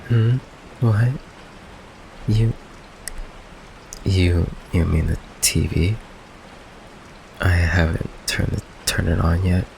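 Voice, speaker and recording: A man playing an affectionate but evasive goth vampire boyfriend in an ASMR roleplay, talking haltingly with nervous stammers. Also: low voice, gravelly, darkish tone, a little hiss or hum, full character voice, low-quality recording. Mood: defensive, flustered. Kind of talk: ASMR roleplay, intimate one-sided conversation. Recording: rain ambience behind close voice.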